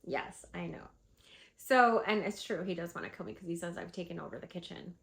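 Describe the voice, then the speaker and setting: A woman's voice: lowish voice, brisk, gravelly. Stuffy-voiced woman giving vendor tips. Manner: chatty, animated, lots of fillers. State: stuffy, congested from a cold. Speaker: vlogger and candle seller. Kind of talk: casual advice vlog.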